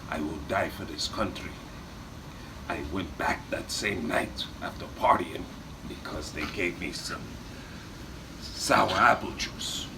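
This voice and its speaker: A man's voice: low-pitched, takes it slowly, heavy background noise. A man playing a boastful, melodramatic Bulgarian war veteran telling rambling tales in broken English. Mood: boastful, dramatic. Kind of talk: improvised comic in-character storytelling.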